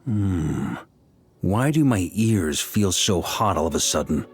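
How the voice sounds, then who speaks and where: A man's voice: low-pitched, sing-song, slow, soft background music, heavily acted. A man voicing a jovial, confident grand master of a knightly order who gives firm commands. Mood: amused. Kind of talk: video game voice acting.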